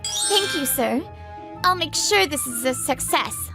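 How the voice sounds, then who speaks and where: Manga dub: encouraging young businesswoman female actor, piercingly bright, animated and emphatic, with background music. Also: high voice, slow, heavily acted. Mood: surprised, eager. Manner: perky, emphatic. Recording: music under the voice.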